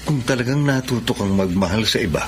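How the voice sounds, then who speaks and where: An actor playing a heartbroken, self-sacrificing jilted lover in a Filipino radio melodrama, solemn and emotive with dramatic pauses and a Filipino accent. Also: male voice, mid-range voice, expressive, noisy background, close to an everyday voice. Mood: solemn, resigned. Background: melodramatic background music.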